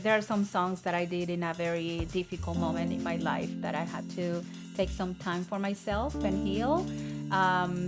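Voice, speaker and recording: A middle-aged woman from El Salvador, a singer-songwriter talking earnestly in a casual conversation, with many halting fillers. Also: lowish voice.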